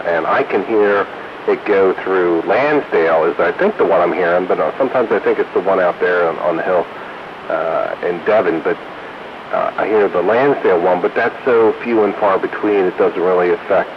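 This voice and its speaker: American male radio hobbyist, very low voice, conversational, dull transmission with clear static hiss. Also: normal speed. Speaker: radio hobbyist in a group chat. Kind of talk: casual two-way radio chat. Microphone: two-way radio, recorded from a receiver stream. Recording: hissy, muffled CB radio transmission.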